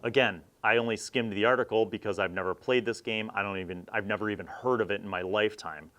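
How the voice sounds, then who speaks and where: A man's voice: fairly low pitch, steady tone, fast talker, fairly bright tone. A middle-aged man talking casually and conversationally as the host of a review video, rambling a little as he comments. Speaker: host of a video series reviewing old roleplaying magazines.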